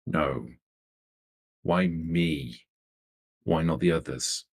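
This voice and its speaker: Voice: very deep voice, drawn-out words, heavily acted. A performer voicing a wary, suspicious vampire negotiator in a tabletop roleplay, terse and guarded with probing questions. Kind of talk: in-character tabletop roleplay dialogue.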